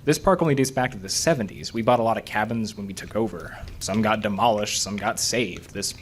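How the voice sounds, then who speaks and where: A man's voice: fairly low pitch, brisk, a little hiss or hum, close to an everyday voice. Actor as a cautious, skeptical park service man. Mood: cautious, mildly scolding. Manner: cautious, hesitant, gently scolding. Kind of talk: naturalistic horror audio drama acting.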